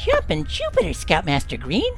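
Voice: mid-range voice, huge pitch swings, moderate pace, vocal fry. An actor playing an eager, wholesome but slyly cheeky boy scout in a retro sci-fi radio adventure, with a gee-whiz earnest way of talking. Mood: chirpy. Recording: music behind the voice.

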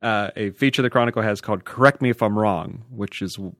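A man's voice: fairly low pitch, brisk, a bit bright, dry recording, clean studio sound. A man co-hosting a podcast, trading casual comic banter in a mock-serious, dryly joking way. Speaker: podcast co-host.

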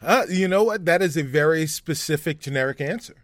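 A man's voice: on the high side, brisk, over a noisy crowd. A man bantering casually as a game show panelist, emphatic and repeating phrases for effect.

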